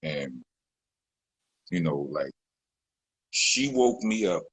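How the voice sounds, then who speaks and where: A man with a very deep, husky voice, speaking as a rapper in a sit-down interview. He rambles and circles back, filling his talk with "you know" and restarts. The sound is dry and close. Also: sing-song, drawn-out words, dry recording. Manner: rambling, many fillers and restarts. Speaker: rapper, guest.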